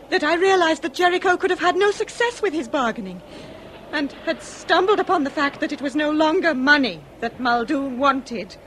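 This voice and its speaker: Radio drama: high-pitched upper-class English lady, wronged and anguished, formal, theatrical, slight background noise. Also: fairly bright tone, low-quality recording. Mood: confessional. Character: anguished aristocratic lady confessing, dignified, wronged wife.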